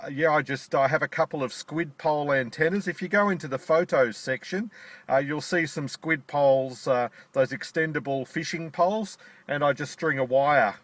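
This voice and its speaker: An Australian man speaking into a radio microphone while sitting in his car at a park. His voice sits at an ordinary middle pitch, and his talk is friendly and delighted. He keeps to radio procedure, spelling out call signs and ending with "over". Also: fairly bright tone. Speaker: amateur radio operator. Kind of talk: ham radio contact.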